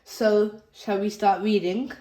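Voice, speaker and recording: A woman reading a children's picture book aloud in a slow, expressive storytelling manner. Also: mid-range voice. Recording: echoey room.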